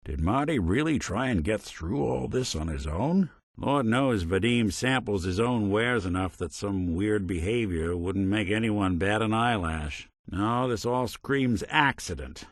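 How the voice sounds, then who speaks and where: A world-weary old android private eye from a video game. The low voice moves in lively swings of pitch, wry but kindly, with 1940s noir patter. The recording is close and dry. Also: male voice, a little slow, no room sound. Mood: pensive, investigative. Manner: wry, dry, old-fashioned gumshoe slang. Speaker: detective.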